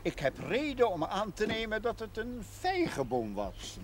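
Animated, dryly witty village schoolmaster. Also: male voice, sits high, moderate pace. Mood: teasing. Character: learned, genial village schoolmaster, gently teasing. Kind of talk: television period drama acting. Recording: noisy old TV soundtrack.

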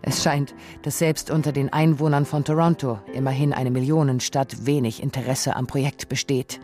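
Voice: medium pitch, steady tone, moderate pace, dark tone, soft background music, close to an everyday voice. A narrator with reading a scripted, essayistic radio feature in a pointed, literary manner.

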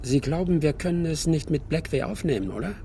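A laconic old backwoods logger in an audio drama. The voice is dark and creaky, calm and sly, with faint vehicle noise behind it. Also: male voice, a little high, brisk. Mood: calm, needling. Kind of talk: naturalistic German audio drama acting.

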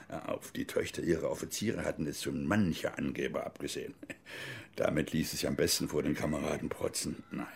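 An actor playing a bitter older East German former officer and grieving father, telling his story broodingly and haltingly in a radio drama. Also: fairly low pitch, husky, heavily acted.

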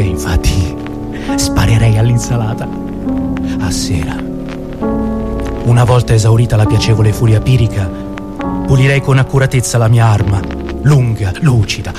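A man performing a scripted, dramatic literary monologue for radio. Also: medium pitch. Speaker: radio show author and performer. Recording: music bed behind the voice. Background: music.

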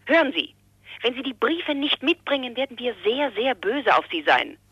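An actress playing a stern, commanding militant contact in a radio play, giving clipped, threatening orders. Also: female voice, fairly high pitch, very animated, normal speed, fairly bright tone, highs cut off, a little hiss or hum. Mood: cold, commanding.

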